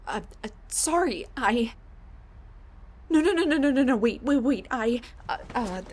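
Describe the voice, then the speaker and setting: A woman performs a scatterbrained older scientist who has come back through time to find her husband. The voice is breathy and a little high, and her speech is slow and unhurried. She stammers, restarts and fills gaps with ums. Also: animated. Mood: flustered, pleading. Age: middle-aged. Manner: stammering, flustered, many fillers and restarts; hushed muttering to herself. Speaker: audio roleplay performer. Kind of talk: audio roleplay drama.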